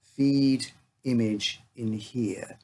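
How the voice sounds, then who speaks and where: A man's voice: mid-range voice, steady tone, very slow, dark tone, slight background noise. A man voicing an evasive father in a story, curt and guarded under a chummy act. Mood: slow, ominous.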